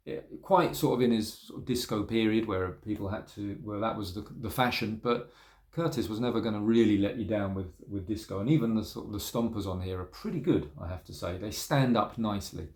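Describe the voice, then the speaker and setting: A man casually showing records from his collection, relaxed and rambling, with frequent ums and trailing asides. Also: lowish voice. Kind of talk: casual spoken video review.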